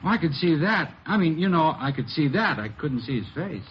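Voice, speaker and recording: A guarded young man in his early twenties gives short, defensive answers in a fairly high voice. He is a sullen drifter in a vintage radio police drama. The recording is dull, with faint noise. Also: very animated, brisk. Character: evasive, defensive young male drifter suspect, twenty-two.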